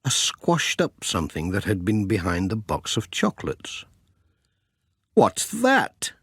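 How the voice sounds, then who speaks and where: Animated male children's story narrator. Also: sits low, husky, no room sound, studio quality. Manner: measured, expressive storytelling. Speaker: audiobook narrator.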